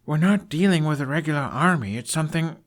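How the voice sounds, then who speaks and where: Man acting an urgent, high-pitched pleading young prince. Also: animated, fast talker, lightly acted. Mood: hesitant, urgent. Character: anxious, earnest young prince pleading with his father. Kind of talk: dramatized fan fiction reading.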